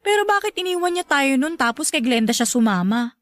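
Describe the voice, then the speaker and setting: High-voiced, animated young Filipino woman. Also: fairly quick, no room sound. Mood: insecure, shy. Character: Lily, lovelorn young businesswoman, defensive and shy. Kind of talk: Tagalog radio drama acting.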